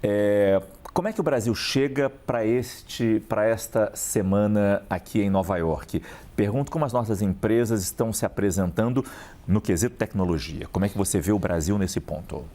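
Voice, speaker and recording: A man's voice: lowish voice, moderate pace, mellow. A Brazilian man, a news anchor, interviewing a guest in a friendly, polished manner. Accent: Brazilian Portuguese.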